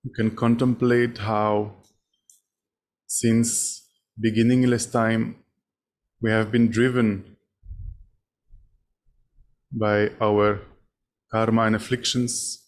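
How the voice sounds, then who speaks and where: A man leading a guided meditation as a teacher, speaking in short, measured phrases with long pauses between them. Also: on the low side, little pitch movement. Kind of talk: guided meditation, spoken instruction.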